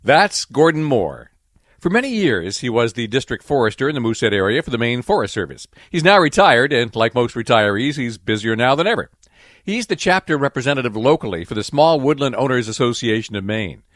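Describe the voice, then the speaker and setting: A man hosting and narrating a radio nature program in a clear, storytelling delivery. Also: mid-range voice, sing-song, fairly quick, a bit bright, dry recording, studio quality. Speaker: radio show host and narrator.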